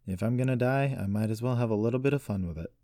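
A man's voice: mid-range voice, quick pace, mellow, no echo, studio quality, voice pushed up, natural voice. A man voicing a defiant captive woman in an audiobook story, teasing and flippant to mask her fear. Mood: taunting.

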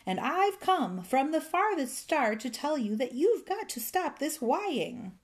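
Storybook fairy, male, played by a woman: bossy, indignant, raised pitch, emphatic scolding delivery. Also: very animated, normal speed, a little mellow. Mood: angry, threatening. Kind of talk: children's storybook character voice.

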